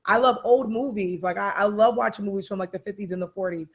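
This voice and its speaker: A woman co-hosting a casual panel chat, speaking in an emphatic, colloquial, animated way. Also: medium pitch, quick pace. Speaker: talk show co-host.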